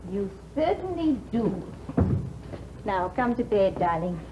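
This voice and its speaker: Brief: teasing young bride, bright and bouncy, dull 1950s broadcast sound. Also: female voice, medium pitch, big pitch swings, slow pace, noisy background. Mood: playful.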